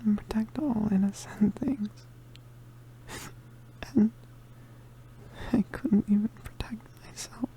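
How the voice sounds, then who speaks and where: Girl doing a hurt witch boy for asmr, soft whispery n stammery, really slow, protective but fragile, bit of hiss. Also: medium pitch, low-quality recording. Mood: tearful, scared. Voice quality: breathy, often whispered. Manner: halting, stammering, hesitant. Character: injured young male witch, gentle, protective, vulnerable. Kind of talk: ASMR roleplay, soft intimate acting.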